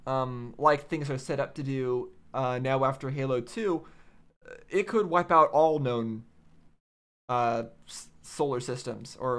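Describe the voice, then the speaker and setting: Musing man with a higher-pitched voice. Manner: musing, halting, thinking aloud. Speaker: host of a game reaction video. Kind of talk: casual off-the-cuff commentary.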